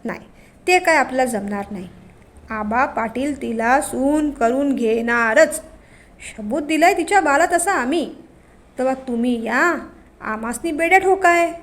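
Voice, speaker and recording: Woman playing older village chief: loud, scheming, mocking, high. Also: expressive, a little slow. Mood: commanding.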